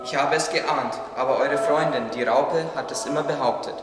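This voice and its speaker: A man's voice: medium pitch, normal speed, natural voice. A man playing a thoughtful, devout gardener in a German radio play. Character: thoughtful, devout male gardener, friend to animals. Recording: echoey hall, clear background noise.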